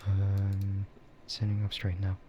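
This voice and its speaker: A man chatting casually in a soft, half-whispered ASMR style, rambling and hesitant as he streams close to the microphone. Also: sits low, completely flat delivery, slow pace, highs cut off, noisy background. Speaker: VTuber streamer.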